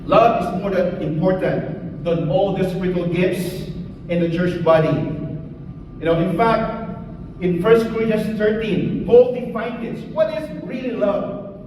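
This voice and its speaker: A man delivers a sermon at an unhurried, deliberate pace, leaning on single words and letting each phrase sink low. His voice sits fairly high for a man. He is heard from a distance in a large, reverberant church hall with a faint hiss. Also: a little mellow. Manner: slow, emphatic preaching with long pauses and falling endings. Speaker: pastor. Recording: echoey hall, voice from far off, faint noise.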